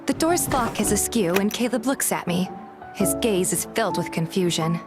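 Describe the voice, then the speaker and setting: A woman's voice: mid-range voice, expressive, normal speed, lightly acted. Actress as suspicious, spirited young female lead, first-person narration and dialogue, mid-range voice over music. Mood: curious, suspicious. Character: feisty, suspicious young woman narrating her own story. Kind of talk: scripted first-person narration and game dialogue, fan dub.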